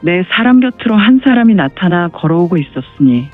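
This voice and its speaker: A man with a high voice narrates a martial arts tale as scripted storytelling. The recording is dry and dull, with faint music underneath. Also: very animated, fairly quick.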